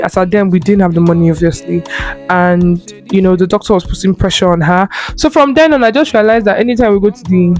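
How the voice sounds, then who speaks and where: A young woman in her early twenties with a Nigerian Igbo accent, whose first language is Igbo, talks warmly and earnestly about her own past. She chats in a loose, personal way, full of fillers and self-corrections. Soft music plays faintly behind her. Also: lowish voice. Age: early 20s. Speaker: lifestyle creator. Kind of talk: personal storytelling to camera.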